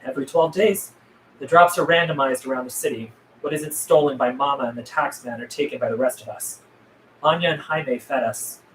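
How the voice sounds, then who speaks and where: A man's voice: medium pitch, brisk, lightly acted. A man reading the part of a guarded, earnest zombie apocalypse survivor at a script table read, terse in manner. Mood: matter-of-fact. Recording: roomy, distant mic, faint noise.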